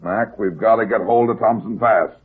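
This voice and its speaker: A husky, low-pitched private eye, blunt and commanding. He speaks in clipped radio drama style, through a dull, muffled vintage recording. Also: steady tone, moderate pace. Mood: brisk, determined. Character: tough, terse heavyset male private detective. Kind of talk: classic radio detective drama acting.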